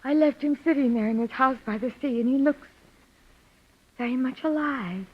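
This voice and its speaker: Hysterical, unstable woman, vintage radio mystery. Very high voice, breathless and halting, muffled period recording. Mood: eerie, dazed. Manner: breathless, halting, repeating herself. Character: hysterical, unhinged woman confessing to a murder. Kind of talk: 1940s radio mystery acting.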